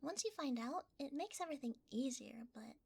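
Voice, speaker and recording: A woman's voice: high voice, animated, a little fast, creaky, a little mellow, slightly rough, exaggerated voice. A woman voicing a shy, cuddly shapeshifting creature in an ASMR roleplay, hesitant and easily flustered, often half-whispering. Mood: soft, playful.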